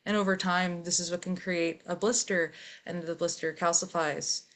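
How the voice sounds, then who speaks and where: A young adult woman, a metal singer, talking openly as an interview guest in a relaxed, chatty way with frequent fillers. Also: lowish voice, normal speed. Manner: relaxed, open storytelling with fillers. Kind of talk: casual interview chat.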